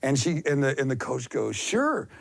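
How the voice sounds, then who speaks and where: A man in his fifties telling casual stories as an interview guest, excitable and self-deprecating, often rambling and acting out what was said. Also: medium pitch, a little fast, creaky. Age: 50s. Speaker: endurance athlete, interview guest. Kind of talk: casual, anecdotal interview storytelling.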